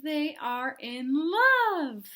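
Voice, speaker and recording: A cheerful little storybook pig voiced by a woman, high and bright. The helpful friend announces each thing in a deliberate, lilting sing-song. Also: very animated, full character voice. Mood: matter-of-fact.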